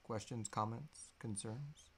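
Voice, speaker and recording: A man's voice: mid-range voice, slow pace, a little hiss or hum. Loose, joking man in his thirties. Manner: loose, joking, casual swearing. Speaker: podcast co-host answering listener questions. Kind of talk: casual live Q&A chat. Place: small indoor room.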